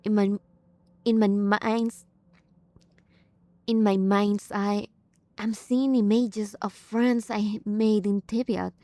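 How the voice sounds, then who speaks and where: A woman gives casual gameplay commentary at a slow, easy pace. Her voice is mellow and sits at a middle pitch. The recording is very dry, with hardly any room on it. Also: steady tone, no room sound. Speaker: game streamer. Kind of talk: casual gameplay reactions and reading aloud.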